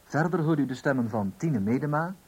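A man's voice: medium pitch, animated, a little fast, breathy edge. A man with a Dutch accent formally announcing credits as a radio announcer.

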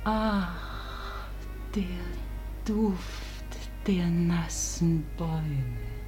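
A woman's voice: sits low, a little mellow. An actress playing a forsaken, dying woman in a German verse radio drama, speaking solemnly and lyrically, often sinking to whispers, wistful and wounded.

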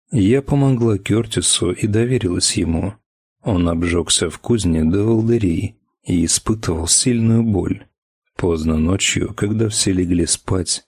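A man's voice: sits low, breathy edge, no room sound, studio quality. A man reading first-person fiction as a scripted audiobook narration.

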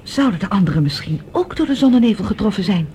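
An actor playing a courteous, reasonable scientist on a space crew in a science-fiction radio drama. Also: male voice, high voice, lively intonation, quick pace, dark tone. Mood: reasoning. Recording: noisy old radio drama recording.

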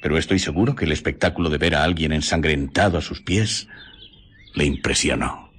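Thoughtful gentleman doctor, Spain accent, radio play. Very deep and husky, reflective, theatrical. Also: a little fast, exaggerated voice. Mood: pensive, concerned. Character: thoughtful, troubled gentleman doctor reasoning out a crime. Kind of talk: Spanish radio drama acting.

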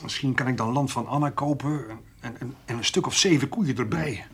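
A stubborn farmer in a naturalistic radio play. His voice is mid-pitched and somewhat husky. He speaks bluntly and repeats words as he digs in his heels. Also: a little fast. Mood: stammering, insistent. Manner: blunt, stammers and repeats words when agitated. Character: stubborn, indignant male farmer, wary of officials. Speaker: actor in a radio drama. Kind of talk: naturalistic radio drama acting.

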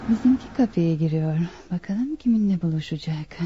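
A woman's voice: fairly low pitch, expressive, normal speed, warm tone, a little hiss or hum, lightly acted. A woman playing a jealous, haughty young fiancée in a radio drama, bossy and indignant. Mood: jealous, vengeful. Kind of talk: Turkish radio drama acting. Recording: muffled radio drama recording.

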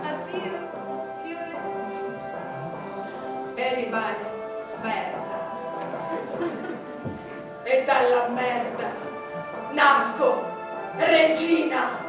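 A woman playing an imperious, grandiose queen in a declamatory theatrical monologue, defiant and full of dramatic pauses. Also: high-pitched. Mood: bitter, wry. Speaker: stage actress. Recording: echoey, distant stage recording with music.

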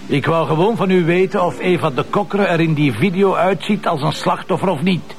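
A man with a Flemish accent playing a gruff, sarcastic, short-tempered middle-aged police commissioner in a TV crime drama. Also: a little high, brisk, lightly acted. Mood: lecturing, irritated. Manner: blunt, colloquial, hectoring. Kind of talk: naturalistic TV crime drama acting.

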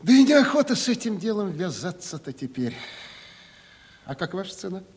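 Wily folksy trader, raspy, very animated voice, mock humble, 70s russian radio drama with long reverb. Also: male voice, high-pitched, moderate pace, heavily acted. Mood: crafty, mock-humble. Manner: wheedling, mock-humble, colloquial peasant turns. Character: sly, folksy, uneducated provincial timber merchant, a father. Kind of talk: 1970s Russian radio play acting. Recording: reverberant 1970s radio play recording.

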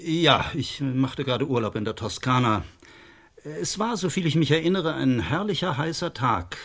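An actor playing a wry, eloquent sociologist as a studio guest in a radio drama, ironic and self-assured. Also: male voice, mid-range voice, gravelly, fairly bright tone.

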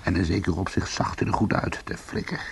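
A man playing a bitter, defiant ex-convict in a Dutch radio play, with a gravelly, husky voice and a sardonic manner. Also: deep voice, very animated, a little fast, full character voice. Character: bitter, defiant male ex-convict trying to go straight. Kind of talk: Dutch radio drama dialogue and narration.

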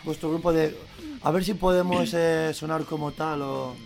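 A man hosts a radio interview in a gravelly voice pitched a little high. He stumbles through his questions with plenty of fillers and restarts. Faint music sits behind him. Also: steady tone, normal speed, darkish tone.

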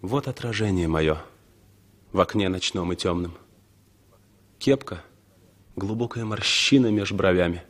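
A man's voice: deep voice, measured pace, pauses often. An actor playing a scornful, world-weary man in his thirties, declaiming a poetic inner monologue in a radio play. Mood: reflective. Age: 30s. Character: brooding, scornful thirty-year-old unshaven traveller at a bus station.

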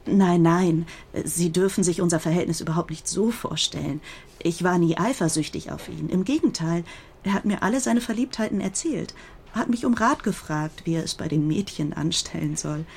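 A woman playing a grieving, protective older sister who gives an earnest, confiding statement in a German radio crime drama, with a husky voice. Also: lowish voice, moderate pace, darkish tone, slight background noise. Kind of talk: German radio play acting, recounting testimony.

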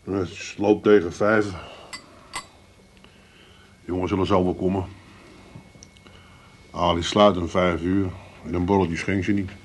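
An actor playing a level-headed, wry pub friend with an Amsterdam accent in a comic radio drama. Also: male voice, deep voice, little pitch movement, takes it slowly, pauses often, slight background noise. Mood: dry, matter-of-fact. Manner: matter-of-fact, coaxing, dry. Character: calm, wry male pub regular, mediating friend.

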